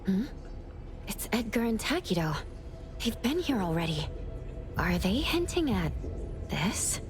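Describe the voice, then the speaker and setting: A voice actress playing a composed, analytical young female investigator in a video game, reasoning aloud in a measured, serious way. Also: medium pitch, sing-song, music in the background. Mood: pensive. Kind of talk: video game character acting.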